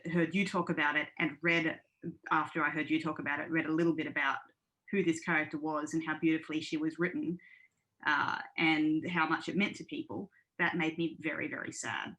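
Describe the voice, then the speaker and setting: A woman with a low-pitched voice speaks as a podcast panelist. Her talk is casual and reflective, like an informal review discussion. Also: flat delivery, a little fast, a bit bright, poor audio.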